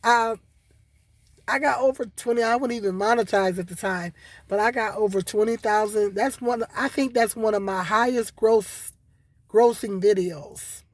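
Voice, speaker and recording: A hoarse woman with a cold, talking casually and rambling to her viewers with many repeats and fillers. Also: on the high side, moderate pace, dry recording. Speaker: vlogger. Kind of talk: casual solo commentary.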